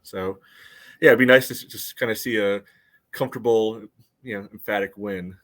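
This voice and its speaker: A man co-hosting a soccer podcast, thinking aloud in casual analysis with a measured, hedging manner. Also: lowish voice, fairly even intonation, fairly bright tone. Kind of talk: casual sports analysis chat.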